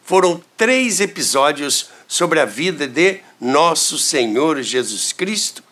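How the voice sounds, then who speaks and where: A man's voice: on the high side, very animated, slow pace, sharp tone. A man hosting a history show, narrating from a script.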